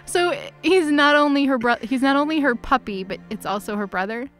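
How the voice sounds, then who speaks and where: A woman's voice: high-pitched, a little fast, fairly bright tone, soft background music. A woman in casual comedic chat, joking and slangy, peppering her sentences with "like". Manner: joking, slangy, uses like constantly. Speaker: co-host reacting to a story recap.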